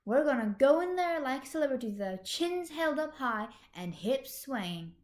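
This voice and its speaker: A woman's voice: sits high, sing-song, a little slow, a little mellow. A woman voicing a bold, bossy teenage girl with an emphatic, theatrical delivery in an audio fan story. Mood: excited commanding.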